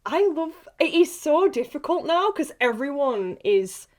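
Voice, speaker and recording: A woman in a casual podcast chat, talking in an excitable, giggly, rambling way as she interviews a fellow actress. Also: high voice, a little slow, a little hiss or hum. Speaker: actress interviewing a castmate on a behind-the-scenes podcast.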